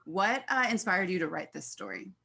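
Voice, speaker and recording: A woman's voice: fairly low pitch, big pitch swings, normal speed, creaky, pushed and bright, slightly rough. An American woman hosting an online event as a filmmaking mentor, talking to guests and introducing them. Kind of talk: event hosting on a video call.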